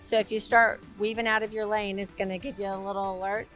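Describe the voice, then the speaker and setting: A woman with a raspy, hoarse voice giving a bubbly, upbeat sales tour of a motorhome. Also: medium pitch, flat delivery, fast, fairly bright tone, faint music behind. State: hoarse from a week of talking. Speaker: RV sales presenter. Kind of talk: enthusiastic walkaround tour.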